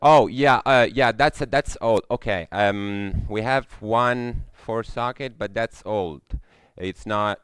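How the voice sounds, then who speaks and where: A man's voice: lowish voice, takes it slowly, hardly any pauses, fairly bright tone, smooth, dry recording, clean studio sound. A young Italian man with an Italian accent giving a technical conference talk, hesitant, with many fillers and restarts. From Italy. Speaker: conference speaker. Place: lecture room.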